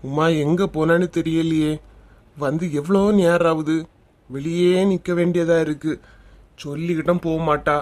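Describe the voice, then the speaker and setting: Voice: mid-range voice, steady tone, normal speed, darkish tone, close to an everyday voice. An actor with a Kanyakumari Tamil accent playing an easygoing young village husband in a comic cartoon. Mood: exasperated.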